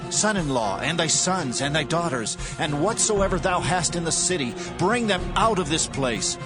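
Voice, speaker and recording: A commanding angel urging escape, voiced by a man. The voice sits fairly high and moves a lot in pitch. Clear music plays underneath. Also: very animated, moderate pace. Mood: urgent. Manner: urgent, commanding. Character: solemn angel, urgent and commanding. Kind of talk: dramatized Bible reading.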